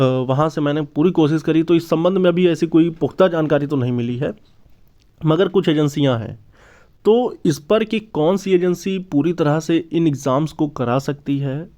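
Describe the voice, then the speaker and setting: A man's voice: medium pitch, a little mellow. A man giving an informal explanatory news update, repeating and rephrasing his points as he goes. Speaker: YouTube exam news presenter. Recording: dull, lo-fi sound.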